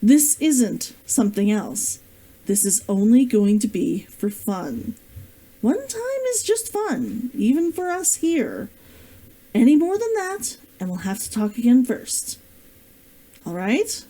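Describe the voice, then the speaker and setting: A woman reads the part of a young fantasy romance heroine, bold and yearning. The voice is fairly high and mellow, forthright and questioning, in a storybook manner. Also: sing-song, takes it slowly, heavily acted, poor audio.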